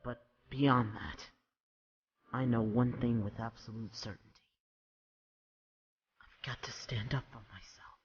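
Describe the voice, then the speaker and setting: A performer playing an earnest, hesitant young male soldier in an audio drama, speaking haltingly. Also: medium pitch, fairly even intonation, breathy edge, highs cut off. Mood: frustrated, determined. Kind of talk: fan audio drama acting.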